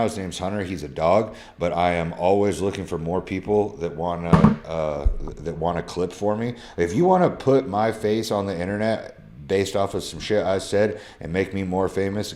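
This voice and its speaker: Thirty-something guy with a real low voice, casual slangy live stream off his phone, cusses a lot. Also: normal speed, hardly any pauses, a little mellow, slight background noise. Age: 30s. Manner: loose, slangy, swears freely. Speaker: social media creator. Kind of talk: casual live stream, reading DMs aloud.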